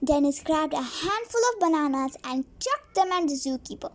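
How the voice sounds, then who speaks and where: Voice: high-pitched, expressive, normal speed. A child reading a storybook aloud, stumbling over words and repeating phrases now and then.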